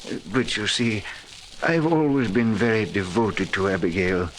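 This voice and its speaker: An actor playing an anxious, old-fashioned gentleman host, hesitant, formal and apologetic, in 1940s radio style. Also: on the low side, lively intonation, normal speed, close to an everyday voice. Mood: hesitant, pleading. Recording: faintly noisy old 1940s radio recording.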